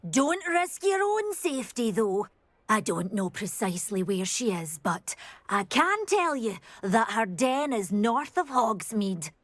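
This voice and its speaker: An actress playing an anxious, tender-hearted game character who dotes on a beloved creature, speaking in a fretful, earnest, effusive way. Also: female voice, on the high side, a bit bright, no room sound, exaggerated voice. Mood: grateful, pleading. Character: anxious, tender-hearted woman fretting over her beloved unicorn. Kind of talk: video game character dialogue.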